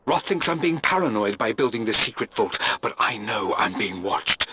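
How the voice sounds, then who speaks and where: A man's voice: lowish voice, lively intonation, moderate pace, noisy background, close to an everyday voice. An actor playing a wary, driven male scholar in a video game, narrating his journal in an earnest, guarded manner. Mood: guarded worry.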